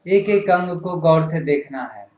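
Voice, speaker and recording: Male yoga instructor, fairly high pitch, very unhurried delivery of short guided-meditation cues. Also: expressive, darkish tone. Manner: instructing in short, repetitive phrases. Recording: roomy, echoing sound.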